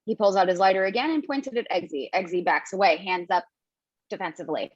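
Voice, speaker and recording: Woman reading screenplay directions, husky voice, dull dry home mic. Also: medium pitch, no room sound. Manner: brisk, casual, playful. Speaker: narrator reading stage directions. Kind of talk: table read of a screenplay.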